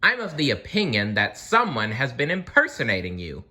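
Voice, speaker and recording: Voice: mid-range voice, wildly swooping pitch, moderate pace, bright, forceful tone, voice pushed up, exaggerated voice. A storybook reader voicing a fussy, gullible male school principal with a formal, self-assured way of talking.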